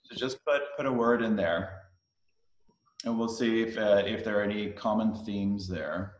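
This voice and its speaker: A middle-aged man from Denmark whose first language is Danish, giving a casual, halting talk on a video call with many fillers and restarts. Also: lowish voice, lo-fi sound. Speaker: guest speaker. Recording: roomy echo on a video call.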